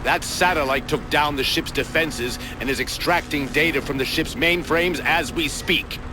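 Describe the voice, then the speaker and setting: An actor playing a stern, impatient warship captain in a video game, giving curt, authoritative orders. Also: male voice, fairly high pitch, moderate pace, background noise, lightly acted. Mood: brisk, commanding. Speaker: military captain. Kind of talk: video game cutscene acting. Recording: loud music over the voice.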